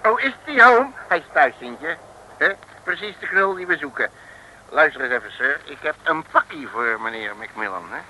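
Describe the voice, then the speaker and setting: A cocky, bumbling detective from an old Dutch radio comedy, with a high, very bright voice that swings up and down. He blusters in slangy speech on a noisy vintage recording. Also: expressive, moderate pace. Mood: blustering. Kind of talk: 1960s Dutch comic radio serial acting.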